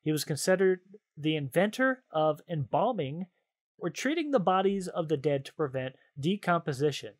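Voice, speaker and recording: A man's voice: a little high, sing-song, normal speed. A man hosting a podcast in casual chat, playful and jokey, reading out trivia cards and often amused. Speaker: podcast host and quizmaster.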